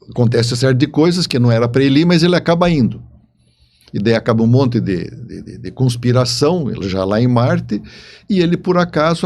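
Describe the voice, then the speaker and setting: A man's voice: mid-range voice, darkish tone. Male interview guest. Conversational storyteller, explains as he goes, doubles back on words. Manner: explanatory, often repeats words and seeks agreement.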